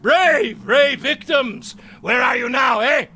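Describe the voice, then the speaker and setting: An actor playing an arrogant, panicking older military captain in a television drama, with a blustering, theatrical manner. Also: male voice, extremely high pitch, takes it slowly, sharp tone, raised voice, a little hiss or hum, heavily acted. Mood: mocking rage. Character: arrogant, panicking former war camp captain, older man.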